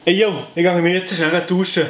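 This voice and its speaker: A man's voice: high voice, level tone, moderate pace, fairly bright tone, echoey room. A young man in his twenties teasing his friend in a casual comic sketch, sounding skeptical. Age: 20s. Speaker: friend of the host.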